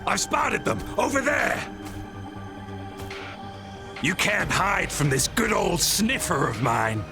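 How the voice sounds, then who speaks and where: An actor playing a mocking, brash henchman in a video game, with a gravelly voice. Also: high voice, sing-song, takes it slowly, music in the background, full character voice. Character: sneering thuggish henchman, adult man.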